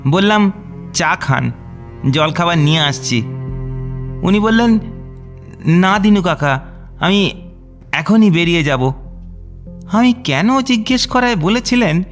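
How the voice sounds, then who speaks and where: A hesitant, high-voiced old servant. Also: male voice. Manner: hesitant, stammering, deferential. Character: talkative, aggrieved old male household servant, deferential. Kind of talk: radio detective drama acting.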